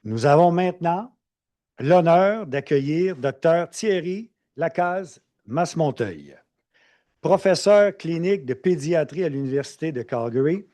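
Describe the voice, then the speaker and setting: Animateur de congrès, voix assez aiguë. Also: male voice, fairly high pitch, animated, a little slow, dark tone. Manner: formal, ceremonious introductions. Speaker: event emcee. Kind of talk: formal event hosting. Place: conference hall.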